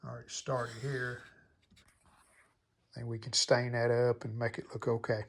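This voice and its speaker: A man's voice: medium pitch, little pitch movement, unhurried, gravelly. A man narrating a hands-on restoration casually, thinking aloud in short, plainspoken phrases. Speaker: restoration video host.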